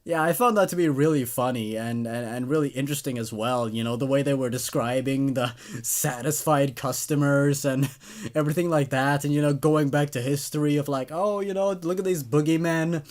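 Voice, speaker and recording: A man's voice: medium pitch, steady tone, a little fast. A man giving a casual, enthusiastic off-the-cuff review as a story narrator, with a chuckling manner.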